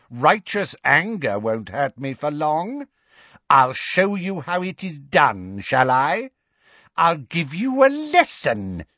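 Guy doing a nasty gloating witch for an audiobook, way high voice, taunting and fanatical, stretches her words, bit muffled. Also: fairly bright tone, no room sound, heavily acted. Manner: taunting, drawn-out, mock baby talk at times. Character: cruel gloating dark witch, mocking and fanatical, adult woman. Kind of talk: audiobook character voice.

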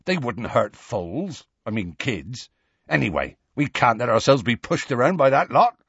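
A man voicing a big, gruff, stubborn gamekeeper from a storybook, blunt and colloquial in his talk. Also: medium pitch, sing-song, unhurried, a bit bright, dry recording, lo-fi sound, full character voice. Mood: gruff, dismissive.

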